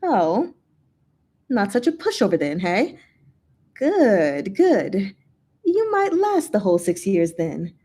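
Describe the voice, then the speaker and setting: A woman's voice: medium pitch, sing-song, slow pace, long pauses, dark tone, breathy edge, full character voice. A woman voicing a breezy female ghost who works in a gambling den, playful, casual and teasing, in a storybook reading. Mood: amused.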